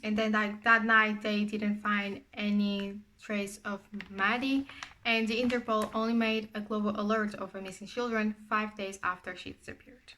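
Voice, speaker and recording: A woman's voice: medium pitch, little pitch movement, normal speed, sharp tone, slight background noise. A young woman from Portugal with a Portuguese accent, English not her first language, casually recounting a true crime case with frequent fillers. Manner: conversational, frequent fillers and restarts.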